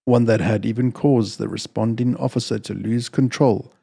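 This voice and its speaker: A man works as a storyteller, presenting fiction to listeners. His voice sits at a middle pitch with a dark, relaxed tone. A gravelly fry runs through his delivery. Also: moderate pace. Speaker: storyteller, channel host reading own fiction. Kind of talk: casual introduction, then audiobook-style story narration.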